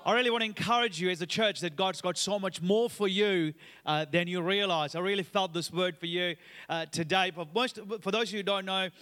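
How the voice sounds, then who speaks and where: Guest preacher in his thirties, born in Sri Lanka, high and bright voice, chatty delivery full of fillers, long hall reverb. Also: fairly quick. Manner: upbeat, chatty, many "uh" fillers. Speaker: guest pastor. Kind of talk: sermon with personal story. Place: church auditorium.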